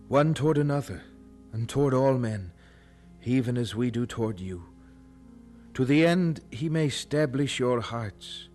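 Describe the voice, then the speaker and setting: A man's voice: mid-range voice, darkish tone, close to an everyday voice. An actor playing a devout young male student, thoughtful and intense, with formal, earnest phrasing in an audio drama. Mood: fervent, prayerful. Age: young adult.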